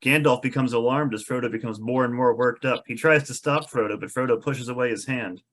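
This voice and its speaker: A man's voice: medium pitch, fairly quick, fairly bright tone. A man reading stage directions as narrator in a table read of a film script.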